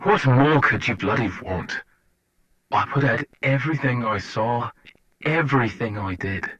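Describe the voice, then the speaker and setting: A man's voice: mid-range voice, lively intonation, unhurried, a bit bright. A man acting a bitter, broken prisoner in an audio drama, swearing and pleading to be left alone. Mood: bitter despair. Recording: dull lo-fi cassette, faint music.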